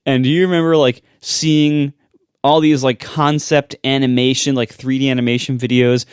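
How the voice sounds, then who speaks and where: A man's voice: medium pitch, moderate pace, clear, resonant tone, dry recording, clean studio sound. A man co-hosting a tech podcast, talking in an emphatic, skeptical rant. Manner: animated, emphatic, skeptical ranting. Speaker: podcast co-host. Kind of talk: casual tech chat.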